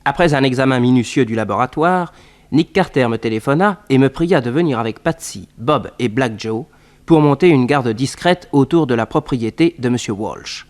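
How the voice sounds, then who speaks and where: An actor playing a detective's associate who narrates a case in a measured, literary way, in a 1960s French radio serial. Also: male voice, medium pitch, animated, lightly acted.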